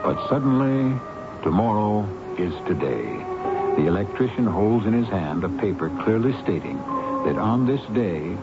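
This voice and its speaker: A man with a deep voice and sweeping intonation narrates an old radio drama as its host. His delivery is portentous and theatrical, muffled, over loud music. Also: sing-song, moderate pace.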